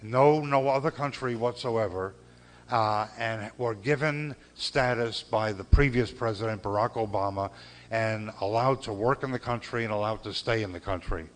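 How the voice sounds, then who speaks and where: An older man giving a speech at a gala, earnest and measured, with pauses and repeated phrases. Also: fairly low pitch. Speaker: civil rights lawyer giving a gala speech. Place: banquet hall.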